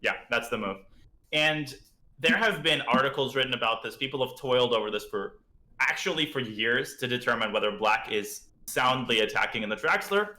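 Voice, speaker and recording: A man's voice: mid-range voice, animated, normal speed, sharp tone, echoey room. A man with a slightly parched throat, chatting casually as he teaches chess on a live stream and thinks aloud about moves.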